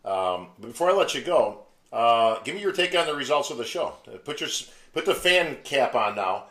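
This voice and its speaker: A man's voice: mid-range voice, very animated, moderate pace, a bit bright. An American man hosting an interview, friendly and chummy, often laughing with his guest. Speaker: podcast host. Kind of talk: casual interview chat. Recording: echoey room sound.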